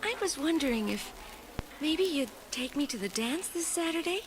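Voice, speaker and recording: A woman's voice: high-pitched, animated, normal speed, noisy background. A woman playing a sweet, girlish teenage girl in a dramatized storybook read-along, breathless and emotive. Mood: smitten.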